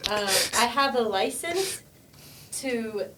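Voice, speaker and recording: A woman's voice: expressive, slow. A young woman joking through an improvised job-interview game on a podcast, often laughing as she talks. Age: young adult. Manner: giggly, laughs through her pitch. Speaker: podcast guest. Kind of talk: casual improvised game chat. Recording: distant mic with loud background noise.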